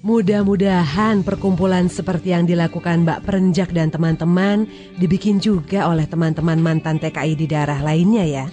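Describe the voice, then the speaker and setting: A woman's voice: on the low side, fairly even intonation, normal speed, warm tone, faint music behind, close to an everyday voice. An actress playing a supportive, admiring woman in radio drama dialogue.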